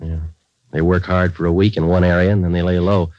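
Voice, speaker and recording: An actor as a low-voiced deadpan police detective. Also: male voice, brisk, dry recording, natural voice. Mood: businesslike. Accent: American. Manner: clipped, matter-of-fact, deadpan. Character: laconic male police detective sergeant, dry and businesslike. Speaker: narrator and lead actor. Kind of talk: 1940s American radio crime drama acting. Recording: dull vintage radio recording with music.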